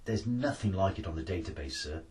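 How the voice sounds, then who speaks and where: A man voicing a keen, dutiful science officer who reports crisply to his superior in a storybook. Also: low voice, animated, a little fast, a little mellow, far from the mic. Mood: businesslike.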